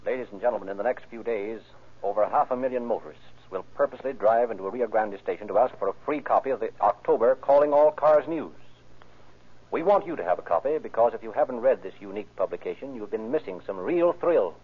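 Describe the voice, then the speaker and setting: A man's voice: on the low side, animated, fast talker, breathy edge. An American man announcing and narrating from a script in 1930s old-time radio style. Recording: muffled, band-limited old radio recording.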